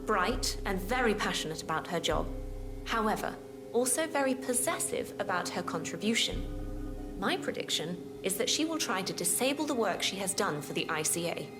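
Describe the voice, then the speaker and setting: An actress playing a composed corporate woman giving a measured, matter-of-fact briefing in a video game. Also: medium pitch, big pitch swings, over music, close to an everyday voice. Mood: composed, assured. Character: composed corporate woman profiling her staff.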